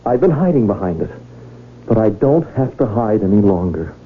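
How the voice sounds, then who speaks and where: An actor playing an earnest, somewhat self-important rich man in a 1970s radio drama, with a halting way of speaking. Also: fairly low pitch, big pitch swings, breathy edge. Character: hesitant, earnest rich man about to be king. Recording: thin, tinny old radio recording.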